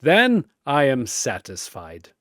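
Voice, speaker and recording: Man voicing a slow, grim warrior god. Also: mid-range voice, huge pitch swings, a bit bright, no room sound, studio quality, full character voice. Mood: solemn. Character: grim, stoic battle-worn male warrior god, respectful.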